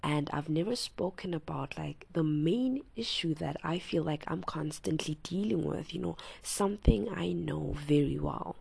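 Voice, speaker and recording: A woman with a low, gravelly, raspy voice talks quietly late at night, lying in bed at home. She hosts her own podcast and rambles loosely, filling her talk with "you know" and "like," and often drops to a near whisper. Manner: hushed and soft-spoken, often near a whisper. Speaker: podcast host. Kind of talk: casual personal chat.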